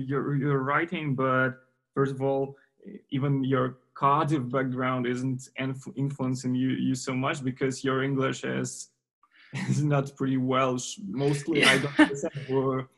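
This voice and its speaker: A man interviewing a novelist, his speech halting as he restarts words and searches for phrasing. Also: mid-range voice, vocal fry, a bit bright. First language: Ukrainian. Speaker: interviewer at a book forum. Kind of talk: interview questions.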